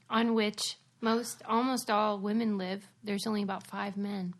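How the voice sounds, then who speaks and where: A relaxed woman co-hosting a podcast, with a voice of medium pitch. She is easygoing and laughs a lot, and she keeps the talk going with prompting questions. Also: unhurried. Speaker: podcast co-host. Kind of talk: casual chat.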